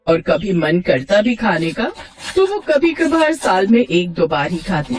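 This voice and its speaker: A woman narrating a scripted moral bedtime story as a storyteller. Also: medium pitch.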